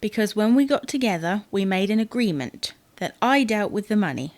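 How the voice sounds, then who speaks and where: A woman's voice: medium pitch, lively intonation, moderate pace, a little mellow, no echo, lightly acted. An actress playing a matter-of-fact, defensive wife in a comedy audio drama, needling her husband with dry, pointed retorts.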